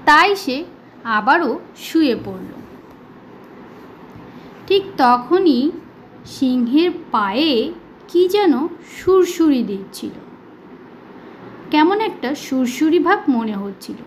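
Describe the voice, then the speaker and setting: A woman with a high voice tells a children's story. Her delivery is slow, expressive and dramatic, each phrase set out deliberately. Faint noise sits behind the voice. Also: rough recording.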